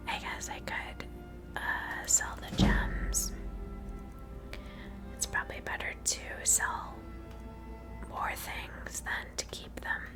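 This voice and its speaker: A woman delivers ASMR gameplay commentary in a breathy, unvoiced whisper. She sounds casual, thinking aloud close to the mic. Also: slow, pauses often, music in the background. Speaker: gamer host.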